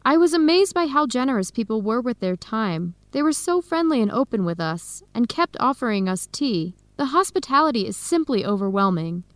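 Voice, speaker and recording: A woman playing an earnest young woman in an educational radio drama, speaking expressively and clearly. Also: fairly high pitch, very animated, moderate pace, few pauses, dry recording. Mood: enthusiastic. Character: Helen, earnest young woman searching for her birth family. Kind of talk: acted radio drama dialogue for English learners.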